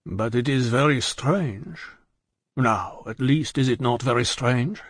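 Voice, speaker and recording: A man voicing an anxious French tavern-keeper in an audiobook, speaking low and husky in a hesitant, pleading manner. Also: animated, a little slow, no echo, clean studio sound, heavily acted.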